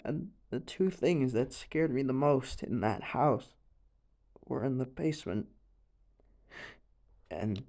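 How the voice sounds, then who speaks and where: A distraught young man played by a male voice actor makes a confession in an audio drama. He speaks very slowly and haltingly at a middle pitch, stammering, trailing off and starting over, weighed down by grief and fear. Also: creaky, dark tone. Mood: nervous, composing himself. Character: distraught, grieving young man confessing a frightening, unbelievable story. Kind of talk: audio roleplay confession monologue.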